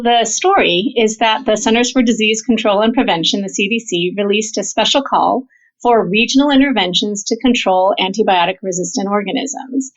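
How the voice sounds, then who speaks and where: A woman physician researcher explaining her work as an interview guest, in an orderly, structured way. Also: on the high side. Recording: dull, band-limited audio.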